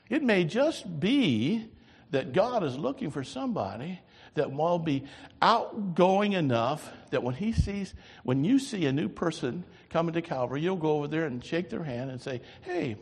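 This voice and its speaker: An older man preaches with a husky, somewhat high voice that rises and falls in wide, animated swings. His delivery moves between loud emphatic lines and quiet asides. The sanctuary rings with a long reverb. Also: moderate pace. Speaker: pastor. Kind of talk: sermon.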